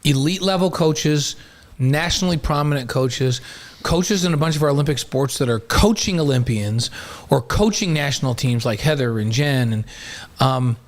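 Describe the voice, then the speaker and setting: A man's voice: mid-range voice, expressive, moderate pace. A man co-hosting a casual sports show, talking in an enthusiastic, complimentary way.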